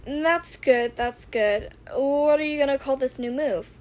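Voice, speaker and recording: Woman as an excitable, high-voiced teenage boy inventor. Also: a little slow. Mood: eager. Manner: emphatic, earnest, easily worked up.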